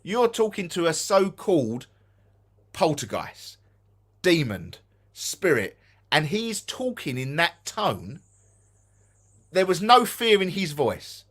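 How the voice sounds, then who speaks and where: Essex man, live-stream co-host, emphatic, slightly higher pitch. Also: takes it slowly, long pauses. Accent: Essex English. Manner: animated, emphatic, repeats himself for effect. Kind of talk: casual live-stream chat.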